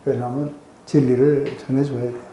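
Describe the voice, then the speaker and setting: A weeknight Bible study in an echoey room, where a soft-edged, very dark-toned man explains a passage and keeps checking that everyone follows. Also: mid-range voice, little pitch movement, a little fast. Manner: explanatory, often checks in with listeners. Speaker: pastor teaching a Bible study group. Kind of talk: conversational expository sermon with rhetorical questions. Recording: roomy echo.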